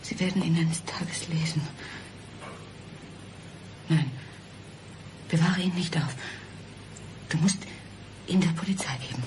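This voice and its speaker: An actress playing a frightened, despairing condemned mother in a radio play, halting and breathy, often near a whisper. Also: low-pitched, noisy background. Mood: fearful, anguished. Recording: music under the voice.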